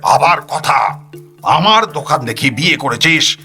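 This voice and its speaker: A man playing an angry, suspicious shop owner in an audio story, barking threats in a gruff, bullying way. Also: a little high, animated, a little slow, bright, forceful tone, faint music behind, pitched above the performer's own voice, exaggerated voice. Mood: angry, threatening. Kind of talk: audio story character acting.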